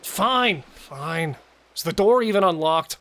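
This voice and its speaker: A man's voice: on the high side, expressive, takes it slowly, pushed and bright, heavily acted. A man playing a cautious but joking killer and robber who steadies his partner, in horror audio drama dialogue. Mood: wry. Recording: music and effects under the voice.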